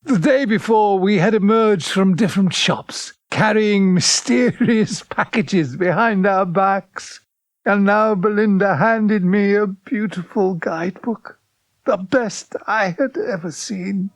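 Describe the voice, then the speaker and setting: Slow, wistful old narrator. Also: male voice, high-pitched, no echo. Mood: fond reminiscing. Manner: wistful, reflective. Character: nostalgic old man of eighty recalling his great love. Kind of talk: reminiscing first-person audio drama narration.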